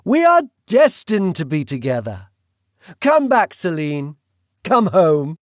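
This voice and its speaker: A man voicing a grandiose, menacing duke with a British accent, slow and theatrical. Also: on the high side, wildly swooping pitch, dry recording, clean studio sound, voice pushed up, full character voice. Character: suave menacing male duke, grandiose villain.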